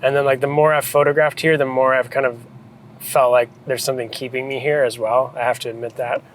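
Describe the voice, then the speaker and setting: A man's voice: medium pitch, flat delivery, brisk, poor audio. Thoughtful American man over faint street noise. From United States, New England. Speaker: photographer and director being interviewed. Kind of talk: casual reflective chat.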